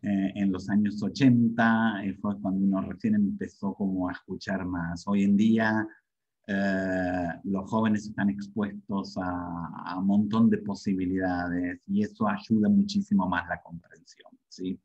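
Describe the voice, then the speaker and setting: A man's voice: sits low, level tone, a little slow, darkish tone, husky. A middle-aged man with an Argentine Spanish accent, giving an informal explanatory webinar lecture as a language teacher, often checking in with short tag questions. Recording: dull, muffled webinar audio.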